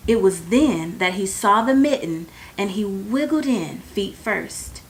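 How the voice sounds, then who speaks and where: Slow, lively woman reading a storybook. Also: medium pitch, poor audio. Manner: expressive, deliberate phrasing for young listeners. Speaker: storyteller reading a picture book aloud. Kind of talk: read-aloud children's bedtime story.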